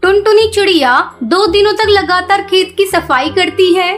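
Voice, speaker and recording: Woman narrating a kids story, really high voice, bit of music under it. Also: normal speed, a bit bright. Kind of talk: scripted children's story narration. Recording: music behind the voice.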